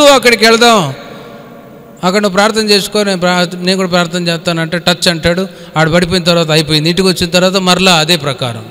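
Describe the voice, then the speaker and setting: Male pastor, slightly high-pitched, exhorting colloquially in a Bible study, mixing in English words; echoing hall recording. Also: fairly bright tone, clean tone. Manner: colloquial, exhorting, with rhetorical questions; code-mixes English words into speech. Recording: echoey hall with long reverb.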